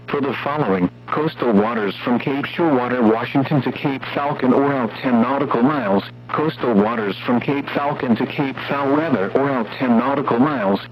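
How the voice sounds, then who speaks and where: An American man reading formal weather warning bulletins as a radio announcer, in an impersonal, synthetic-sounding delivery. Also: mid-range voice. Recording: muffled, noisy radio broadcast with hum.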